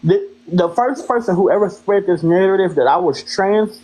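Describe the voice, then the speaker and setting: A woman with a deep voice, a live-stream guest talking bluntly and indignantly, with plenty of profanity. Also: expressive, normal speed, dark tone, low-quality recording. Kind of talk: heated live-stream talk.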